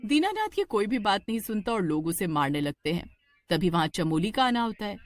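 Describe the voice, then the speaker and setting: A woman narrating a scripted horror cartoon story in an expressive storytelling style. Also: mid-range voice, a little fast, no room sound.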